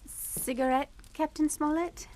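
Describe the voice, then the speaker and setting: An actress playing a fragile, nervous young English daughter in a radio suspense drama, timid and halting. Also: female voice, sits high, steady tone, darkish tone, slight background noise. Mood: nervous, brittle. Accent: British.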